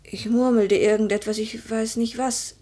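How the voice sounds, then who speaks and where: An actress playing a proud, grieving young widow of noble birth in a literary radio drama, with a breathy, solemn delivery that often drops toward a whisper. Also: female voice, on the high side, fairly even intonation, normal speed, mellow. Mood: anguished.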